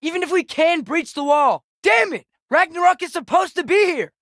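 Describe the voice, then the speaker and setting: A man's voice: very high-pitched, animated, normal speed, fairly bright tone, no echo, clean studio sound, heavily acted. A young male actor playing an earnest, restless teenage boy adventurer with an American accent in a video game. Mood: urgent, desperate. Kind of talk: video game character dialogue.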